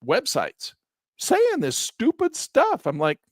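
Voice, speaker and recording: An older man teaching options trading in a live session, animated and exasperated, breaking into mocking high voices and low grumbles. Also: slow, dry recording, clean studio sound. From Southern Utah. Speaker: trading educator. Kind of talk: live-stream trading lesson, off-the-cuff rant.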